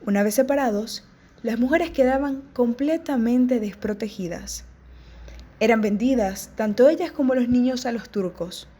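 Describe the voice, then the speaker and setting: A woman narrates from a script in a steady, measured voice. Her tone is soft and mellow, and it sits a little high.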